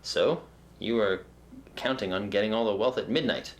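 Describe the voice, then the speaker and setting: A man's voice: fairly low pitch, moderate pace, lightly acted. A man playing a self-important, oblivious detective in a comedy audio drama, speaking in a pompous, theatrical way. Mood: smug, dramatic. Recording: echoey room, faint noise.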